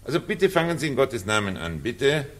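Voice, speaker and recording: An actor playing a pompous, evasive cabaret director in a 1940s radio drama, talking in a condescending, theatrical, patronizing way. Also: male voice, medium pitch, sing-song, brisk, fairly bright tone. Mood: impatient, pompous.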